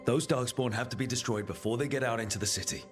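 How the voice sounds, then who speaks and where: An actor playing a steady, reasonable and supportive team leader in a fantasy game. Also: male voice, medium pitch, fast talker, soft background music, close to an everyday voice. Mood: steady, decisive.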